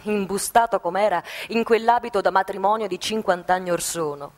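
An actress narrating a theatrical radio drama in a measured storytelling manner. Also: female voice, medium pitch, natural voice.

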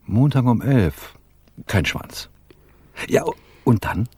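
A nervous, dim-witted accomplice in a radio crime play. His slightly husky voice jumps up and down as he hesitates, naive and easily confused. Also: mid-range voice, expressive, slow, a little mellow, dry recording. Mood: bewildered, eager. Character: timid, slow-witted male undertaker accomplice, anxious. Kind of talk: German radio crime drama acting.

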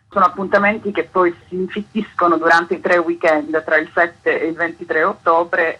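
A woman's voice: fairly low pitch, bright, forceful tone, breathy edge, rough recording. A woman being interviewed on the radio as a festival's artistic director, explaining things in an articulate way.

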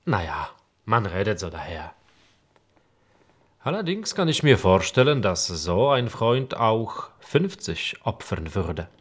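A man's voice: on the low side, very animated, slow pace, exaggerated voice. An actor playing a scheming, persuasive nobleman in disguise in a radio play. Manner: smooth-talking and persuasive. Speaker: Saklika, a nobleman.

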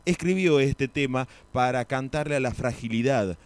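Clear-voiced male radio news commentator. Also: medium pitch, normal speed. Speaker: radio host presenting world news. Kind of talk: unscripted spoken news commentary.

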